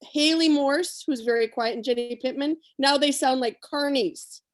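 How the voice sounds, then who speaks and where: A woman's voice: sits high, steady tone, moderate pace, a little hiss or hum. A woman teacher chatting at a parents' meeting, enthusiastic and joking. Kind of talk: casual chat.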